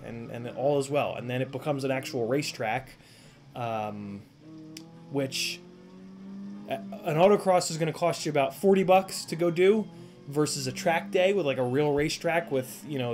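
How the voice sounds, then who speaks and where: A man's voice: mid-range voice, darkish tone. A man in his thirties streaming live from a home workshop, chatting casually with viewers, rambling and swearing with lots of fillers. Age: 30s. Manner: rambling, profane, full of fillers and restarts. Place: home workshop room, indoors.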